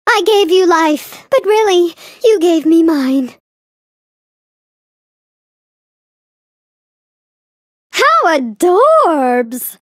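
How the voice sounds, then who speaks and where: Motherly bug queen alien for a game, super high voice, slowish, theatrical, scolds and coos, kinda snooty. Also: big pitch swings, no room sound, studio quality, exaggerated voice. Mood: doting, excited. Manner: theatrical, sing-song, scolding and cooing. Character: motherly alien flea queen, prim, doting, haughty. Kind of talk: video game character voice lines.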